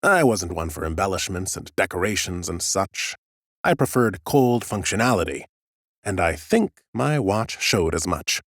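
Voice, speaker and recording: A man narrating an audiobook in the first person as an ancient undead scholar, a fussy, smug, whimsical gentleman with a theatrical, drily humorous delivery. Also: low voice, big pitch swings, moderate pace, no echo, studio quality, heavily acted. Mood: self-satisfied.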